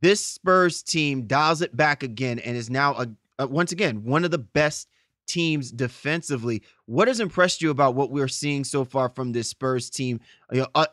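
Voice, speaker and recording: A man's voice: medium pitch, normal speed, fairly bright tone, no room sound, studio quality. A man hosting a sports podcast in an effusive, informal, conversational way. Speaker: podcast host.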